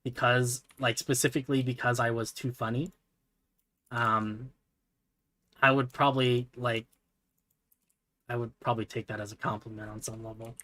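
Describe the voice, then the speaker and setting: A man streaming from his computer, chatting casually in a soft, relaxing voice, rambling with lots of fillers and self-deprecating asides. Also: mid-range voice, flat delivery, normal speed, long pauses. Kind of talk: casual livestream chat while building.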